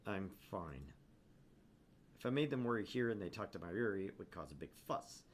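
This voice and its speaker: Man playing a troubled, low-voiced young student. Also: expressive, normal speed. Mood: guarded. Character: troubled, haunted young male student narrating his anguish. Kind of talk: visual novel narration. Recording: clear background noise.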